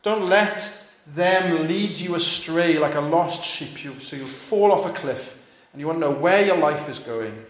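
A man's voice: fairly high pitch, measured pace, roomy sound, poor audio. A fifty-year-old male pastor preaching a sermon, urging his listeners on in short, repeated, earnest phrases.

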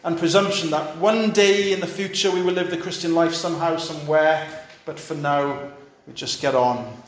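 A man's voice: fairly high pitch, fairly even intonation, moderate pace, echoey room. A fifty-year-old male pastor preaching a sermon, urging his listeners on in short, repeated, earnest phrases.

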